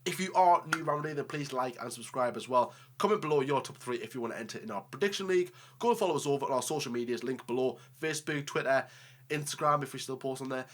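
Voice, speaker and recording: A man's voice: mid-range voice, fast talker, fairly bright tone. A man hosting a racing channel, chatty and joking while he is tired and has a cold. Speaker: host. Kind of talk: casual sign-off chat.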